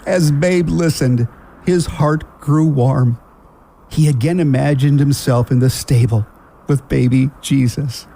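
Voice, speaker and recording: A man's voice: mid-range voice, a little slow, vocal fry, dark tone. A man narrating a scripted children's story as the narrator of a radio drama. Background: guitar music.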